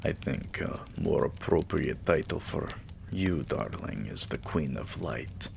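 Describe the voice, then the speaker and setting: A man playing an adoring, weary vampire king in a romantic ASMR roleplay, murmuring tenderly and intimately in a breathy, gravelly half-whisper. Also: very deep voice, lively intonation, a little slow, darkish tone, slight background noise, heavily acted. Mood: affectionate.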